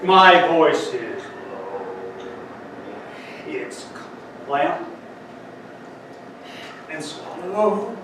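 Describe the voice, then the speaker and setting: A man's voice: on the high side, slight background noise. Man speaking from far in an echoey hall. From Denver, Colorado. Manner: dramatic, slow, swings loud and soft, bursts into song. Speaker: poet and speaker at a conference. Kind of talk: spoken word poetry and talk. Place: large conference hall.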